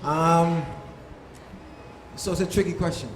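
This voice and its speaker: A man's voice: on the high side, fairly even intonation, slow pace. A man from Harlem, a soca artist, answering casually as part of a panel. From Harlem, New York. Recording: echoey room, faint background noise.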